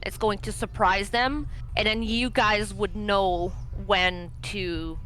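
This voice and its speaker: A woman's voice: medium pitch, steady tone, slow pace, a bit bright, rough recording. A woman playing a tabletop roleplaying game, casually working out moves with the group.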